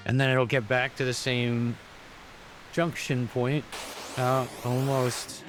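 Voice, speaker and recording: A man's voice: mid-range voice, flat delivery, takes it slowly. A man giving casual, rambling, explanatory commentary as he plays through a video game. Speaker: gameplay commentator. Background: game music.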